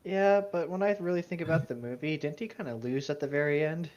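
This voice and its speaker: A man's voice: a little high, fast, a little mellow. Man chatting casually on a dull mic. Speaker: podcast co-host. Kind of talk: casual chat commentary.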